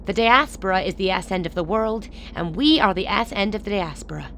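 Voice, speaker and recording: A woman's voice: medium pitch, animated, fairly quick, a bit bright, a little hiss or hum. A woman acting in a radio drama as a hard, sardonic chairwoman who commands with blunt authority. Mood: bitter, challenging.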